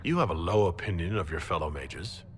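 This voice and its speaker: A man's voice: low voice, expressive, brisk. An actor playing a composed, skeptical male hero in a video game, speaking in a dry, matter-of-fact way. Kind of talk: video game dialogue acting. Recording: noisy, long hall reverb.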